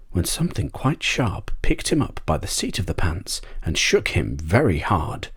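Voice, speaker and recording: A man with a very low voice reads a children's bedtime story in ASMR style. His delivery is soft and measured, and the recording is dry and close. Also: slightly rough, no echo. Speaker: audiobook narrator.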